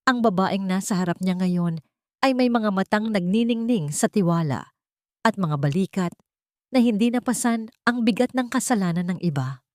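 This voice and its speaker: A woman storyteller reading a scripted dramatic story in a measured, solemn and sentimental way, phrase by phrase. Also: mid-range voice, lively intonation, a little mellow, no echo, clean studio sound. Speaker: storyteller, narrator.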